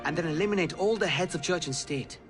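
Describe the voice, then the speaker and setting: A man's voice: on the high side, fast talker, close to an everyday voice. An actor playing an authoritative, urgent man with a grave, commanding manner in a video game cutscene. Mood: urgent, stern. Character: urgent, authoritative male ally breaking up a quarrel. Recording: loud music behind voice.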